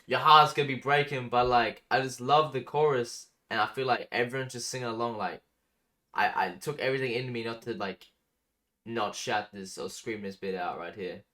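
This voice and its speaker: A man's voice: mid-range voice, fairly even intonation, moderate pace, bright, forceful tone. A man hosting a music reaction video, talking casually and enthusiastically with lots of fillers. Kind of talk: casual reaction commentary over a song.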